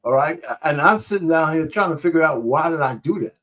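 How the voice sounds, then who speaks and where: A man's voice: on the high side, lively intonation, brisk, fairly bright tone. A man arguing his point in a heated podcast debate, emphatic and often repeating himself. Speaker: panel guest.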